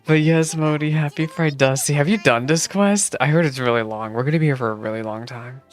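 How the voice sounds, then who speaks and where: A woman livestreaming a game playthrough, chatting casually with viewers in a gushing, expressive way. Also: low-pitched, fairly quick. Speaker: streamer reacting to a game playthrough. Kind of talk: casual livestream chat and commentary. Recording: game music behind the voice. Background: game music.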